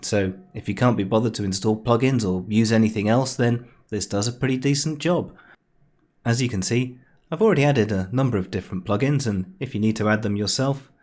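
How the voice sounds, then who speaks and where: Guy with a fairly low voice doing a chatty screencast how-to, dry understated bits. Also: a little mellow. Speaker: tutorial host. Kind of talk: screencast software tutorial, informal. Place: home room, voice-over recorded near computer.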